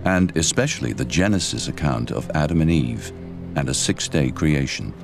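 A man narrates a documentary from a script. His voice is extremely deep, and music plays clearly behind him. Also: lively intonation, moderate pace.

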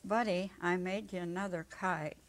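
A woman's voice: on the low side, fairly even intonation, normal speed, close to an everyday voice. Woman acting a folksy, spirited elderly country lady. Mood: regretful. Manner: folksy, earnest, exclaiming. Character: warm, spirited, plain-spoken elderly country woman, devoted friend. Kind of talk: dramatic reading of a short story. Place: indoor stage set, seated at a music stand.

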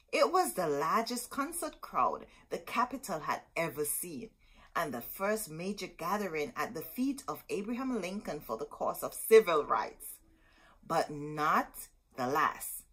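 A middle-aged woman hosting a storytime, reading a picture book aloud expressively with dramatic, deliberate phrasing and asides to her listeners. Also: fairly low pitch, fairly bright tone, slightly rough. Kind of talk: expressive children's read-aloud. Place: small indoor room with bookshelves.

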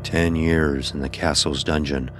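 Very deep, solemn storyteller. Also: male voice, fairly even intonation, unhurried. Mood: somber. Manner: solemn, literary. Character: blind young son narrating his dark ordeal, fearful and somber. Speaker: narrator. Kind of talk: scripted first-person horror narration. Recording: voice over background music.

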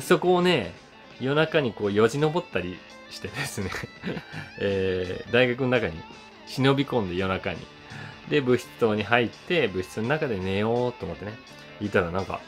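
A man in his forties casually reminiscing to camera in an animated, conversational way, acting things out with sound words. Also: medium pitch. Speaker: voice actor and YouTuber telling memories. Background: soft guitar music.

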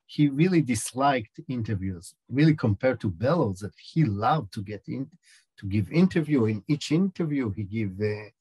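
A middle-aged man with an Israeli accent, a film director answering questions over a video call, halting and searching for words. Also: mid-range voice, lively intonation, darkish tone, breathy edge, dry recording, rough recording. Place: small room indoors.

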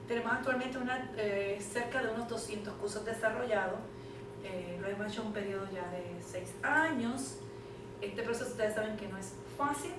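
A woman's voice: medium pitch, normal speed. A middle-aged woman, speaking as a panel moderator in a fluent, emphatic lecturing manner. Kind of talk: conference presentation. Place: classroom, indoors. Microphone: far camera. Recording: echoey classroom from far off, noisy.

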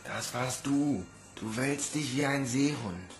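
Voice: medium pitch, takes it slowly, faint music behind, lightly acted. An actor playing a big, protective but disillusioned orphan boy in a radio drama, blunt and sceptical. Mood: soothing, weary.

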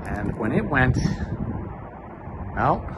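Lively chatty man touring desert ruins. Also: medium pitch, very slow, lo-fi sound, very noisy. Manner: chatty, wry asides, self-questioning. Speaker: travel vlogger. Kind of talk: casual walking tour narration. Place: outdoors in open desert. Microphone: camera or phone mic, handheld.

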